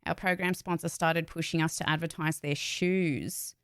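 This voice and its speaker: Woman streamer chatting casually, lowish voice, blunt n emphatic, bit sweary, dry sound. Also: fairly even intonation, moderate pace, clean tone, no room sound. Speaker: voice actor and channel host. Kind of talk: casual livestream chat, candid opinions.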